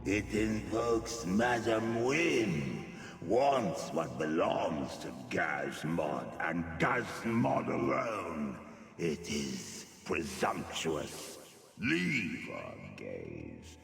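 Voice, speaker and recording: An actor playing a pompous, possessive inhuman collector of relics in a fantasy video game, with a gravelly voice. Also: male voice, deep voice, very animated, extremely slow, faint music behind, exaggerated voice. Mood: haughty, covetous. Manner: pompous, speaks of self in third person.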